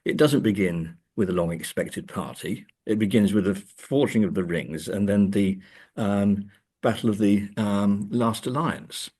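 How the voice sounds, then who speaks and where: Male academic interviewee: very low register, slightly rough husky tone, halting, word-repeating delivery. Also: darkish tone. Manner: halting, repeats words as he thinks. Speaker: academic and author being interviewed. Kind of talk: interview discussion of film adaptations.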